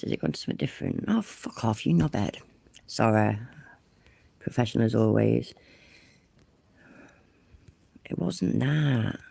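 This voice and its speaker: Woman, blocked-up with a cold, dark gravelly voice, chatty and sweary, phone livestream. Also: a bass voice, no room sound. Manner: chatty, sweary, self-deprecating. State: congested with a cold. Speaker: livestream host. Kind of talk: casual live stream chat.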